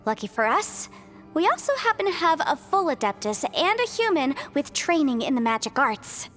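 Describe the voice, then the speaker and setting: High-voiced, earnest young heroine. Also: female voice, big pitch swings, moderate pace, fairly bright tone, faint music behind. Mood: determined. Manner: explanatory, insistent, encouraging. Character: earnest young half-human, half-adeptus woman, determined and hopeful. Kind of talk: video game story acting.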